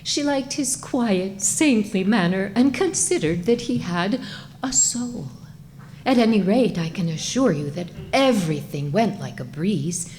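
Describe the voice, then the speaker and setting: A woman's voice: medium pitch, lively intonation, a little slow, a little mellow, a little hiss or hum, low-quality recording. A woman reading a comic short story aloud as its narrator, in a scripted storytelling style.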